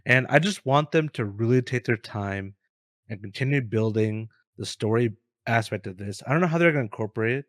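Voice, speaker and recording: A man with a medium-pitched voice co-hosts a gaming show. He chats informally and earnestly, with an appreciative way of talking, in a dry recording free of room echo. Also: animated, a little fast. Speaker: co-host of a gaming news show. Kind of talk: casual chat.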